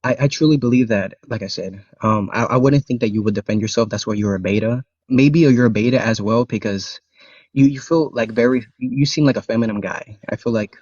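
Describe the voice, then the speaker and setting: A man's voice: mid-range voice, a little fast, darkish tone, husky, no echo. A young man whose first language is Spanish, arguing bluntly in a casual live-stream debate, rambling with many restarts and fillers. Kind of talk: casual argumentative chat. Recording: dry, dull lo-fi call audio.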